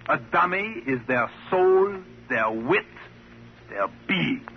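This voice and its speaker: High-voiced, haughty stage magician. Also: male voice, expressive, slow, creaky, heavily acted. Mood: gloating. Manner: theatrical, formal, disdainful. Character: vain, scornful male stage magician. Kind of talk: 1950s radio drama acting. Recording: muffled, slightly noisy old radio recording.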